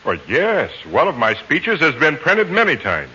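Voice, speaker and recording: A man's voice: fairly high pitch, huge pitch swings, measured pace, bright, forceful tone, heavily acted. A man playing a polite, self-assured learned doctor, formal and articulate, in a 1940s radio comedy. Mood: courteous. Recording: muffled, noisy old radio recording.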